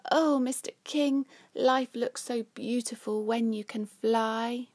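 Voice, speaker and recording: Storybook squirrel, small, male, bursting with curiosity female reader acts it out. Raised pitch, wide-eyed exclamations. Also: fairly even intonation, slow, darkish tone, no echo. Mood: awed. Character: energetic, curious, excitable little male squirrel.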